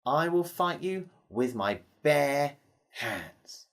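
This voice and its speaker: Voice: medium pitch, sing-song, very slow. A storyteller voicing a plucky, cheeky young male toad who boldly declares himself in a children's tale. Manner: bold, declaring.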